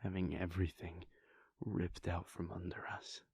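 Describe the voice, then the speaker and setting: A man voicing a weary, devoted male vampire prince in a soft, intimate whisper, speaking in slow, halting, heartfelt confessions. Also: low-pitched, level tone, creaky, husky, no echo, exaggerated voice. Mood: tender, hushed. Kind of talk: whispered audio roleplay.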